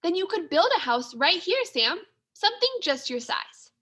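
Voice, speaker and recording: A woman's voice: very high-pitched, big pitch swings, normal speed, sharp tone, voice pushed up, full character voice. A woman reading a storybook as an adventurous, cheerful female mouse with an eager, encouraging manner.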